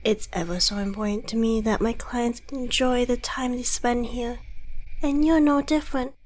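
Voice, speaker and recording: A woman's voice: a little high, fairly even intonation, normal speed, darkish tone, lightly acted. A woman voicing a smug, menacing devil in an ASMR roleplay, with silky, theatrical, condescending politeness. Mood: smoothly welcoming. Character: suave devil dealmaker, courteous, smug and menacing. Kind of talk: ASMR audio roleplay monologue.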